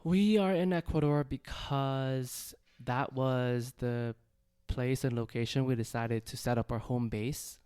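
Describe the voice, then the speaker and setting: Easygoing man with a smooth voice. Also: mid-range voice, fairly even intonation, a little mellow, no echo. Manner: easygoing, laughs often, measured answers. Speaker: podcast co-host. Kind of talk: casual chat.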